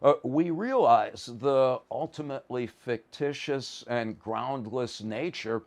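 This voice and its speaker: A man's voice: medium pitch, slow. An American man from the Bronx, a professor giving an informal lecture in an emphatic, wry, colloquial way. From the Bronx, New York, USA. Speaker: psychology professor.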